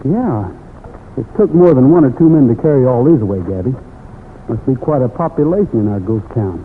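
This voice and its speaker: A man as decisive young cowboy hero, lively, tinny radio. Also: medium pitch, brisk, natural voice. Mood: alert. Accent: American. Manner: plainspoken, take-charge. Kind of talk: 1940s-50s radio western acting. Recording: noisy, tinny old radio recording.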